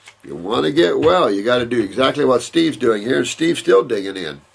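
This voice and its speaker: An older man with a gravelly, somewhat husky voice talks at an ordinary middle pitch. He rambles in a loose, emphatic way, repeats himself and restarts his sentences as he answers viewer letters. His delivery is casual and unscripted. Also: expressive, fairly quick. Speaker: naturopath answering viewer questions.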